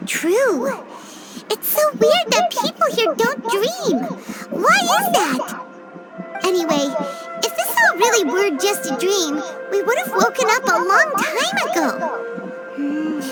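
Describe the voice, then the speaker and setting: A little childlike fairy sidekick from an anime-style game. The voice is extremely high and squeaky, swinging widely as it chatters earnestly and thinks aloud. Loud music plays behind it. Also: female voice, exaggerated voice, rough recording. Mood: puzzled. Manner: refers to self in third person, thinks aloud.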